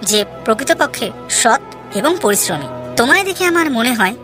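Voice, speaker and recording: Wealthy, generous merchant for a storybook tale, acted by a woman: confident, friendly, high-pitched, over clear music. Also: very animated, normal speed. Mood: generous, assured. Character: grateful, generous wealthy city businessman. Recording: music behind the voice.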